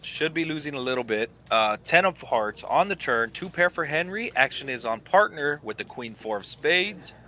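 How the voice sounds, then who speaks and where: A man's voice: a little high, expressive, moderate pace, hardly any pauses, sharp tone, a little hiss or hum. A man giving easygoing play-by-play commentary on a live poker game.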